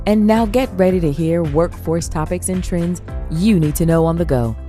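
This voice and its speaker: A woman's voice: lowish voice, normal speed, dark tone. A woman announcer reading a scripted, upbeat podcast intro. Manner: upbeat, promotional delivery. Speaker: show announcer. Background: faint music.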